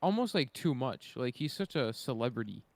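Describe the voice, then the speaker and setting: A man co-hosting a podcast in casual chat, hesitant and thinking out loud as he talks. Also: mid-range voice, big pitch swings, normal speed, no room sound. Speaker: podcast co-host.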